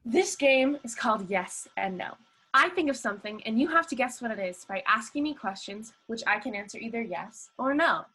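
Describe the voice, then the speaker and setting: Husky, lively, forgiving young relative played by a woman. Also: a little high, moderate pace, full character voice, low-quality recording. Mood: playful. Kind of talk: radio play acting.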